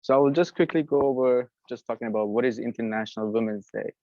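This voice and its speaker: A man's voice: mid-range voice, quick pace, mellow. A young man giving a prepared presentation as a facilitator of an online event. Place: online video call. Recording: dry, dull lo-fi call audio.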